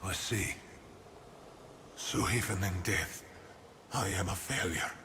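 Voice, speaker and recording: An actor playing a ghostly sea captain in a video game, proud yet mournful, speaking slowly and in a grand theatrical way. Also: male voice, low-pitched, background noise. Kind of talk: video game cinematic acting. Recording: long cavernous reverb, faint ambience.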